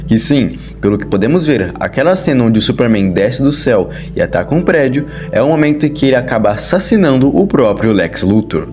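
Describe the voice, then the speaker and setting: A man with a Brazilian accent giving casual, chatty scripted commentary as the host of an online video. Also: mid-range voice. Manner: informal, chatty storytelling with colloquial turns. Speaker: YouTube host and narrator. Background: background music.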